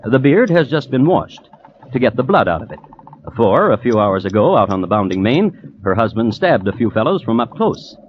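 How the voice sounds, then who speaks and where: A man hosting and narrating an old-time radio true-crime show in a deliberate, wry, understated manner. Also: mid-range voice, big pitch swings. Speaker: host and narrator. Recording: dull, faintly noisy old radio recording.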